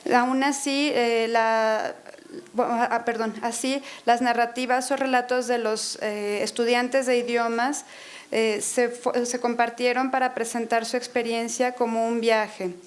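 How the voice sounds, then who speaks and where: Hesitant woman interpreting with a higher voice. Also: little pitch movement, measured pace. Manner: hesitant, many fillers and self-corrections. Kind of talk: consecutive interpreting of a lecture. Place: university auditorium.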